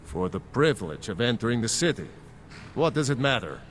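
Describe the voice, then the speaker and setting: A man's voice: mid-range voice, sing-song, a little fast, a little hiss or hum, lightly acted. An actor playing a corrupt male city guard in a video game, talking in a brusque, matter-of-fact way.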